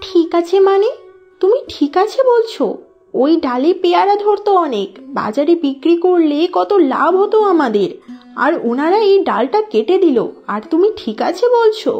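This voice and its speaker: A woman's voice: extremely high pitch, very animated, moderate pace, a little mellow, full character voice. A woman voicing a quarrelsome, easily annoyed village housewife in a cartoon. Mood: indignant. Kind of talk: Bengali cartoon voice acting. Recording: dull audio with music underneath.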